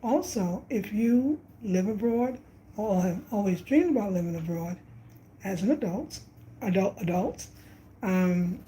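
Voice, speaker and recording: Reflective woman in her fifties, mellow. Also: mid-range voice, a little slow, a little hiss or hum, low-quality recording. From Brooklyn, New York. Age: 50s. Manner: animated, reflective, repeats phrases for emphasis. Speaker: travel vlogger. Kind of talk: casual spiritual reflection, livestream.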